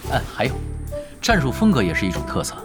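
An actor playing a laid-back, shrewd veteran pro gamer who gives wry, casual advice in a radio drama. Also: male voice, medium pitch, wildly swooping pitch, fast talker. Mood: wry, instructive. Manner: casual, wry, advising juniors. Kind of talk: Chinese radio drama dialogue. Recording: music behind the voice.

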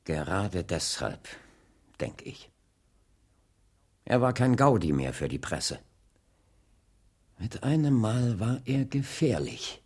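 A man's voice: fairly low pitch, big pitch swings, takes it slowly, long pauses, a little mellow, slightly rough, exaggerated voice. An actor playing an earnest, resolute male civil rights leader and preacher in a German radio drama, with weighty, emphatic oratory. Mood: grave. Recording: reverberant hall sound.

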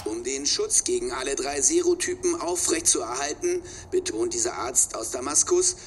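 Earnest TV reporter, swooping pitch. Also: male voice, wildly swooping pitch, moderate pace, a little hiss or hum. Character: earnest male TV reporter voicing a propaganda health report. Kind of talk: scripted news report within radio drama.

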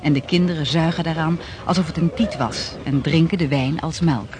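An actor serving as sober narrator of a historical radio drama, reading scripted narration. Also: male voice, a little high, steady tone, normal speed, background noise, natural voice. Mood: measured.

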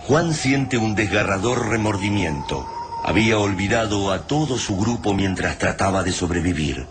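A man's voice: on the low side, animated, moderate pace, a loud crowd. A man reading dramatic scripted narration for a radio drama. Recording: loud music behind the voice.

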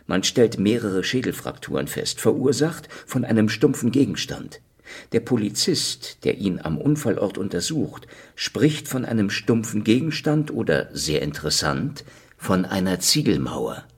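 A man acting a cynical, world-weary secret police investigator in a noir radio play, with a gravelly, sardonic delivery. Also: lowish voice, sing-song, a little slow, dry recording, full character voice. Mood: sardonic, pressing. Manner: sardonic, dry. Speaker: actor and narrator in a radio play. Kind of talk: noir radio drama, hard-boiled first-person narration.

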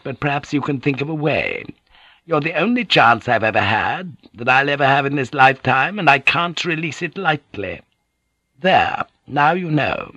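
A man's voice: mid-range voice, no echo, voice pushed up, exaggerated voice. A man playing a shrewd, deferential Japanese businesswoman in an audiobook, courteous and insistent. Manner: flattering, insistent, humbly courteous.

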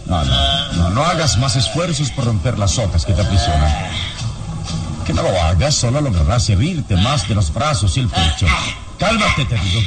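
An actor playing a brave, calm, protective adventure hero in a vintage radio serial, with a solemn, deliberate delivery. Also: male voice, fairly low pitch. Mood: urgent, soothing. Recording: radio serial, distant, music behind.

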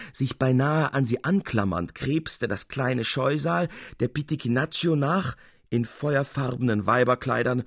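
A man reading literary narration as an audiobook narrator, in an expressive, measured storytelling manner. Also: medium pitch, husky, no room sound, studio quality.

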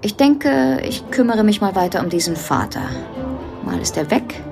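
A woman's voice: medium pitch, expressive, normal speed, vocal fry. A woman playing a matter-of-fact lawyer in a radio drama, with a dry, wry, businesslike manner. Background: faint music.

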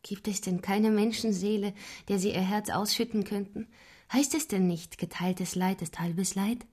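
An actress playing a gentle, devoted young woman in a radio play, speaking softly and breathily. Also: mid-range voice, steady tone, normal speed, natural voice. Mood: tender. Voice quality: soft, breathy, whispery.

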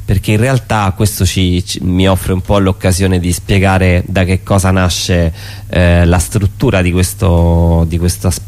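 Deep-voiced man, reflective and halting. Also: level tone, darkish tone. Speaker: performer, interview guest. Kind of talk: unscripted explanatory interview talk.

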